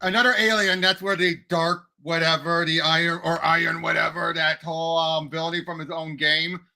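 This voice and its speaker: High, sharp-voiced man with a creaky edge. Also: flat delivery. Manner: halting, repetitive phrasing. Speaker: podcast co-host. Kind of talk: casual movie discussion.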